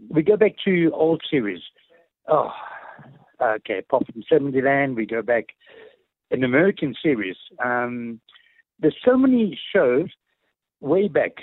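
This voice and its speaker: A man's voice: mid-range voice, no room sound. A man with a South African accent calling in to a radio show, chatting casually in a rambling, hesitant way. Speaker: radio phone-in caller. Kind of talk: casual call-in chat. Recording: dull lo-fi phone call to radio.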